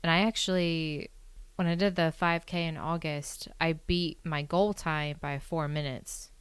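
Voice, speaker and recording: Low-voiced woman, smooth and dry. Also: fairly even intonation, measured pace, dry recording. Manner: dry, joking and matter-of-fact. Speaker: podcast guest. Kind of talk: casual chat.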